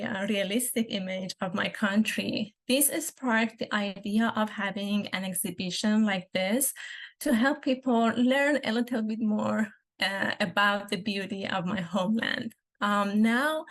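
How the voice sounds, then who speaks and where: A woman in her thirties from Iran with an Iranian accent, giving an earnest, careful presentation as a guest speaker over a video call. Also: mid-range voice. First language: Persian. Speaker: guest speaker, architectural designer.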